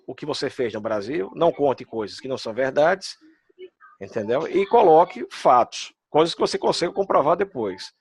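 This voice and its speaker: A man's voice: a little high, creaky. A man with a Pernambuco Brazilian accent hosting a casual video-call chat, talking chattily and straight to the viewers. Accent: Pernambuco Brazilian Portuguese. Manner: lively, chatty, addresses the viewers directly. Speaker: host.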